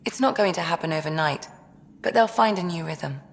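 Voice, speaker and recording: Low-voiced, perceptive crew counselor. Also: female voice, big-room sound. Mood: calm, reassuring. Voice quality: low, slightly gravelly. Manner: measured, reassuring. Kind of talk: video game dialogue acting.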